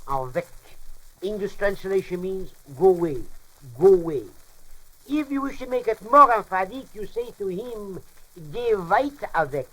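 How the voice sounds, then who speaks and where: A man's voice: high-pitched, moderate pace, a bit bright, poor audio. A man playing a pompous, bumbling comic French professor in a radio monologue, lecturing in muddled, mock-formal language and mangled words.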